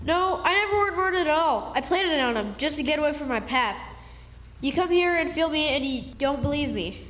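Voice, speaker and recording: Woman as country runaway boy, radio drama, folksy, earnest, high voice, big reverberant hall. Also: animated, brisk, fairly bright tone. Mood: urgent, earnest. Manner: folksy, eager. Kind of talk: stage radio drama acting. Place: live stage in a hall.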